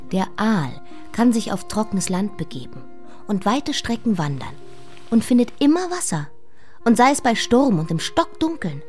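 An actress playing an eager, headstrong woman full of wonder in a radio drama, speaking with excited emphasis. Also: medium pitch, close to an everyday voice.

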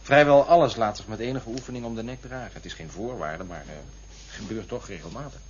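A man's voice: lowish voice, a little fast, a little hiss or hum, natural voice. An actor playing a formal, pedantic and suspicious man in an absurdist radio play. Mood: dryly courteous. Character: pedantic, suspicious man tied by a neck rope. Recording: muffled old radio drama recording.

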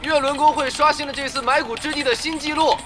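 A man playing an excited guild recruiter loudly proclaiming game news in an audio drama. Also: extremely high pitch, fast talker. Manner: loud, proclaiming. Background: music.

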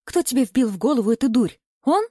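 A woman's voice: on the high side, animated, quick pace, mellow, slightly rough, no echo, studio quality, pitched above the performer's own voice. A woman narrator voicing an embittered, controlling middle-aged mother in indignant, accusing outbursts for an audiobook.

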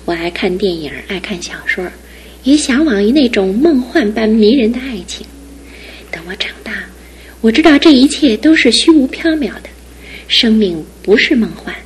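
An actress in her twenties playing a cheerful, resilient young wife in a radio drama, soft and earnest. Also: on the high side, husky, poor audio.

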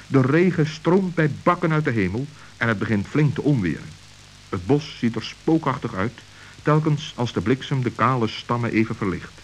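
A man narrating a scripted children's story in a measured, dramatic manner. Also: medium pitch, fairly bright tone, poor audio. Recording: hissy old radio recording.

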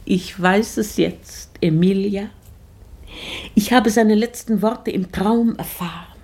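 An actress playing a dreamy, melancholy lady of the house in a poetic radio drama, with a husky, creaky voice. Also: medium pitch, slow pace, a little mellow. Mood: dreamy, wistful.

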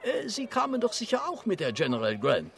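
An actor playing a scheming detective who speaks with fawning, ingratiating politeness. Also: male voice, high voice, wildly swooping pitch, brisk, creaky, a bit bright, heavily acted. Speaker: Detective Fix.

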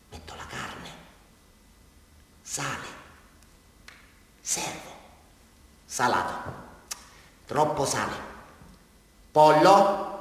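A man's voice: sits high, bright, forceful tone, exaggerated voice. An actor playing an agitated small-town man with a southern Italian accent, rambling and repeating himself in a theatrical confession to a police sergeant. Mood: hushed, secretive, then rambling, confiding. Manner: rambling, repetitive, swinging from whispers to outbursts. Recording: very echoey hall, distant microphone.

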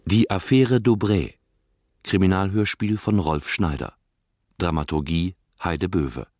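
A man's voice: low-pitched, a little mellow, no room sound, studio quality. A man formally announcing radio drama credits, reading them out as a list.

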